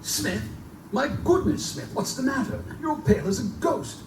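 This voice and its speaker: Man playing high-voiced, concerned gentleman professor. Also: animated, moderate pace. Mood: alarmed concern. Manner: formal, exclamatory, solicitous. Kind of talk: live gothic stage drama. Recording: echoey distant live recording, background noise.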